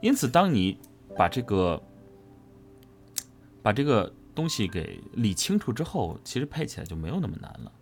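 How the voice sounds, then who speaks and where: A man's voice: fairly low pitch, big pitch swings, normal speed, pauses often, soft background music. A man, a voice actor, giving a casual, explanatory interview answer and repeating phrases for emphasis. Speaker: voice actor interviewed about his character.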